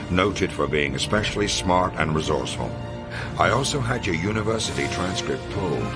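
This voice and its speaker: Very deep-voiced American military officer. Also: male voice. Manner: authoritative, measured, matter-of-fact. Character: commanding older American military intelligence officer, brisk and confident. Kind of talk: scripted mission briefing in a video game. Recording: music under the voice. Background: background music.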